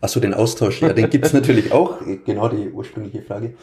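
A man's voice: fairly low pitch, a little fast, mellow, slight background noise. A man with a Franconian accent, a stadium announcer answering interview questions in a rambling way with many fillers. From Franconia, Germany.

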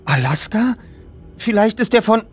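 A man voicing a bewildered twelve-year-old boy in an audiobook reading, halting and stammering. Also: high voice, big pitch swings, husky, soft background music, voice pushed up, exaggerated voice. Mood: excited.

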